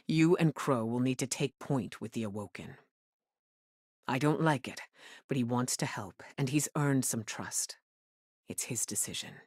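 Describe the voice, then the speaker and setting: A woman playing a troubled scholarly commander in a video game, speaking in a grave, measured, introspective manner. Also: very deep voice, breathy edge, no room sound, studio quality, heavily acted. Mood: reluctant, firm. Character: troubled, guilt-ridden senior commander and scholar giving orders. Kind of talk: dramatic video game dialogue.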